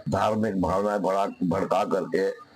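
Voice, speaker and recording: A man giving a measured, disapproving opinion in an interview. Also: deep voice, fairly even intonation, breathy edge. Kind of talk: interview sound bite.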